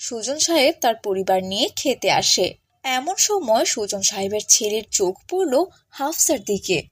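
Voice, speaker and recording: Female narrator, scripted cartoon storytelling, fairly high voice, dry close recording. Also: lively intonation, normal speed, breathy edge, dry recording.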